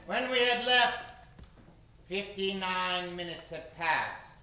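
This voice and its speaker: A man narrating an old time radio drama as host, theatrical and suspenseful with halting phrasing. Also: high voice, music in the background. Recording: very echoey hall, clear background noise.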